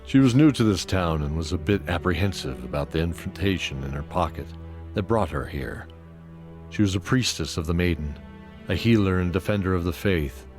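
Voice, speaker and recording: A man narrating a scripted fantasy audio drama in a measured, descriptive storytelling manner. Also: low-pitched. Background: music.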